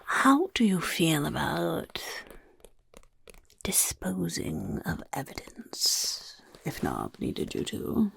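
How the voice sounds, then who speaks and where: Casting: a self-important, scheming goblin interviewer for ASMR roleplay; gravelly fry, husky half-whisper, slow muttered delivery. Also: female voice, low-pitched, huge pitch swings, fairly bright tone, dry recording, heavily acted. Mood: probing, sly. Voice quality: raspy, creaky, half-whispered. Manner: speaks of self in third person, muttering, chaotic. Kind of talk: soft-spoken ASMR roleplay.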